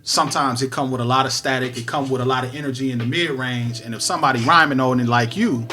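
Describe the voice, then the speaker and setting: Bright-voiced male podcast host. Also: medium pitch, quick pace. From Detroit. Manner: animated, analytical, slangy talk about music production. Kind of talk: casual conversational interview.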